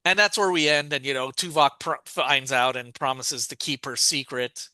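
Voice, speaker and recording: A man's voice: medium pitch, steady tone, moderate pace, pushed and bright, no room sound. A man co-hosting a podcast, recapping a story in a rambling, casual way with many restarts and fillers. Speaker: podcast co-host.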